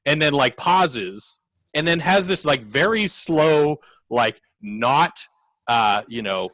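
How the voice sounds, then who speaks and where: A man's voice: fairly high pitch, bright, forceful tone, no echo, poor audio. A man co-hosting a film podcast, talking casually and rambling with many fillers. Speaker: podcast co-host. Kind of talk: casual film review chat.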